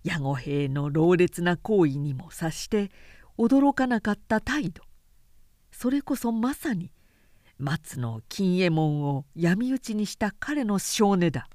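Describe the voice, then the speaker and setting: A woman's voice: fairly low pitch, lively intonation, slow, mellow, dry recording, clean studio sound. A woman reading a literary period story aloud as a scripted narration.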